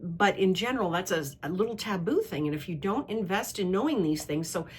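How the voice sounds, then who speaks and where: Middle-aged woman, kinda low voice, chatty and opinionated, wanders off into stories. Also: expressive, fairly quick, slight background noise. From United States. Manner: candid, opinionated, digressive storytelling. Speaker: women's travel club host. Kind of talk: interview answer, conversational monologue.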